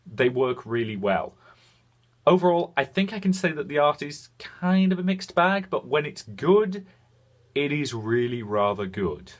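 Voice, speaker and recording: A man hosting a video game review, speaking frankly in semi-scripted commentary with deliberate pauses for emphasis. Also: on the high side, big pitch swings.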